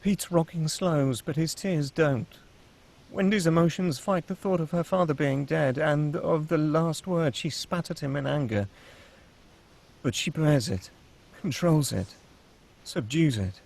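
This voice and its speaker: A man's voice: fairly high pitch, unhurried, gravelly, a little mellow. A man reading stage directions as the narrator of a screenplay, in a dramatic scripted style.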